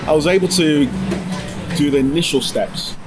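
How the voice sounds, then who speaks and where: A British man whose first language is Yoruba, hosting a relaxed, reflective conversation about running a business abroad. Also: fairly high pitch, moderate pace, music in the background. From United Kingdom. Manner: relaxed, reflective, frequent soft "mm" backchannels. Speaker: host. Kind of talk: casual interview chat.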